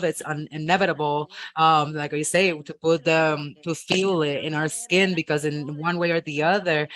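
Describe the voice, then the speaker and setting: Halting live interpreter voice. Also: mid-range voice, fairly bright tone. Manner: halting, with frequent ums and restarts.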